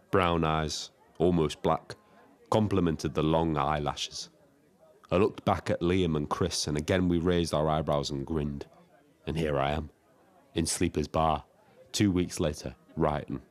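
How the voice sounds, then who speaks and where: An actor playing a lonely, reflective taxi driver in an audio drama, telling his story in a measured, literary way. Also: rumbling low. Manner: wordy, literary, measured first-person storytelling. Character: Frank, lonely reflective male Brighton taxi driver and diarist. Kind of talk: scripted dramatic diary narration.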